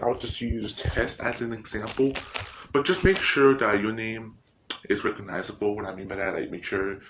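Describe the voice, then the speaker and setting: A man with a fairly low voice talks through a tutorial in an informal, halting way, often repeating himself. The recording has clear background noise and some clicking. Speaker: tutorial host. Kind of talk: casual screen-recording tutorial.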